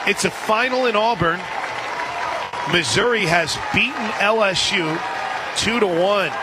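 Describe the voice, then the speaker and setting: An American man calling live softball play-by-play on the radio, slipping into conversational asides between pitches. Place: outdoor softball stadium. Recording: noisy outdoor stadium broadcast, loud crowd. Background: crowd and stadium noise.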